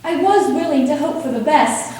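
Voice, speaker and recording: A woman in an amateur stage play, acting a gentle, worried young sister who earnestly recites a letter. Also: high-pitched, expressive, moderate pace. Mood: grave. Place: theatre stage, recorded from a distance. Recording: echoey hall, distant mic, faint noise.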